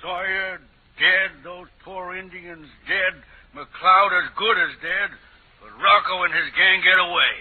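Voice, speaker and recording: A man's voice: high voice, fairly even intonation, measured pace, husky. An actor playing a grieving, self-blaming older father in a 1940s radio drama, sorrowful and bitter. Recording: tinny 1940s radio broadcast.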